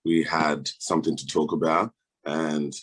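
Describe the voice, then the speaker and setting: A young man's voice sits extremely low with a husky edge. He is a band's lead singer, talking easily and loosely in an interview, drifting through his thoughts without hurry. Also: unhurried. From Austria. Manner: relaxed, laid-back, lots of fillers. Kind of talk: casual interview chat.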